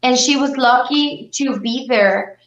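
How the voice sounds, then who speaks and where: Young woman from bolivia, high voice, chatting in an interview, echoey lo-fi audio. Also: slow pace. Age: young adult. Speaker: interview guest, beauty pageant titleholder. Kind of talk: conversational interview answers.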